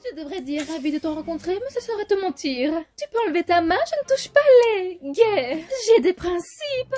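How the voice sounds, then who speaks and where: Casting: snide, condescending demon news anchor, very high lively voice. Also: female voice, normal speed, faint music behind. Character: rich, cruel woman TV news anchor demon.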